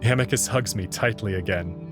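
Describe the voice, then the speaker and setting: A man narrating a visual novel read-through as its host, in an expressive, scripted storytelling style. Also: fairly low pitch, moderate pace. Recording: music behind the voice. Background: background music.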